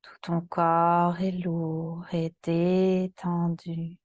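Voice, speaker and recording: A woman yoga teacher guiding a relaxation meditation in a calm, soothing, soft voice that often turns breathy. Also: lowish voice, dry recording, clean studio sound. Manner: calm, soothing, gentle instructions with long pauses.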